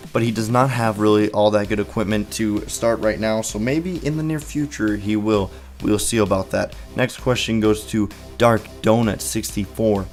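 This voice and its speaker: Chatty teenage man on a headset mic. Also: fairly low pitch. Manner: chatty, rambling answers with fillers. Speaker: gaming channel host. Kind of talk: casual Q and A video. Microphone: gaming headset mic.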